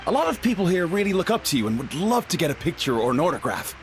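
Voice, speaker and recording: A man's voice: fairly high pitch, big pitch swings, quick pace, soft background music, low-quality recording. A man playing a young event guide in a video game, friendly, helpful and deferential. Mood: eager.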